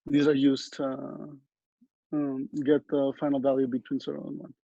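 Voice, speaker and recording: Mellow, even-toned young man, muffled recording. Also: mid-range voice, moderate pace, creaky, no room sound. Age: young adult. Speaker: student presenter. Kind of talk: explanatory project presentation. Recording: dull, dry lo-fi audio.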